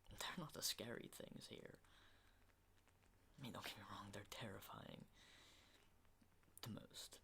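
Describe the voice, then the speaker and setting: A man playing an unhinged, menacing survivor of a zombie apocalypse in a halting, intimate ASMR roleplay, in breathy whispers. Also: pushed and bright, full character voice. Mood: morbid fascination. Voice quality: breathy whisper with low creaky undertones.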